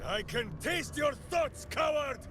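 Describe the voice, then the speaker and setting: A man's voice: very high-pitched, expressive, slow, sharp tone, exaggerated voice. A man playing a bombastic, menacing warrior full of wrath in a video game. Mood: furious. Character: bellowing, wrathful male warrior, simple and bombastic. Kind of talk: dramatic video game acting.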